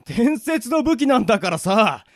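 A man playing a reckless, hot-headed young hero with brash, rough speech in a comedic fantasy radio drama. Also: very high voice, sing-song, moderate pace, no room sound, clean studio sound. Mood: exasperated.

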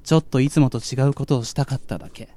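A man playing a curious young part-timer, narrating his night in a measured, introspective radio drama style. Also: medium pitch, mellow, slight background noise, close to an everyday voice. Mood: reflective.

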